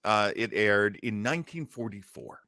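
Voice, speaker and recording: A man's voice: on the low side, expressive, moderate pace, sharp tone, no echo, clean studio sound. A man hosting a casual chat show about old radio, thinking aloud in a hesitant way. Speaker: podcast host.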